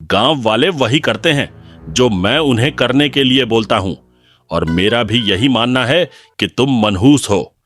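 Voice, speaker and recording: A man's voice: mid-range voice, animated, measured pace, a bit bright, faint music behind. A man playing a harsh, commanding, hostile villager in a cartoon moral story. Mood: cold, threatening. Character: hostile, scornful village man and cruel village chief.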